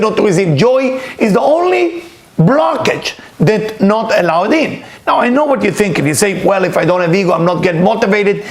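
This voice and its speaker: Man, high-pitched, earnest spiritual teacher, emphatic, repeats words. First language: Hebrew. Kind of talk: weekly inspirational talk.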